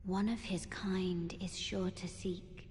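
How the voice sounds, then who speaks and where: Enigmatic young fantasy woman, low-pitched, breathy and solemn, game cutscene, echoing hall. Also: flat delivery, darkish tone. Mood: gentle, solemn. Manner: slow, solemn. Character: soft-spoken, solemn mysterious young woman of dark fantasy. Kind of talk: scripted video game cutscene acting. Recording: long hall reverb, faint noise.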